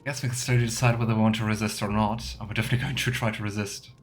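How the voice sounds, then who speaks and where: A man's voice: on the low side, fairly even intonation, very fast. Man musing aloud over faint game music. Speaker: let's play host. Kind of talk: casual gameplay commentary with role-play.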